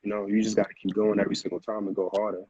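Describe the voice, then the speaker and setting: A man's voice: on the low side, level tone, very fast, dark tone, husky, no echo, lo-fi sound. An American man chatting casually as an interview guest, with slangy phrasing and a habit of repeating himself. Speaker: music producer, interview guest.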